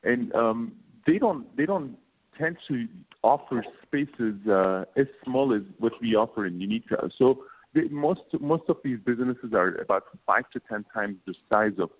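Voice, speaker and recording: A man with a Turkish accent, in his late thirties, answers interview questions in a halting way, stacking up fillers and restarting his phrases. His voice sits at a middle pitch. The sound is squeezed and thin, as if it came through a phone line. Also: lively intonation. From Turkey. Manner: halting, many fillers and repeated words. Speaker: guest, business co-founder. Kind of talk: casual interview talk. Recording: phone-like narrow band.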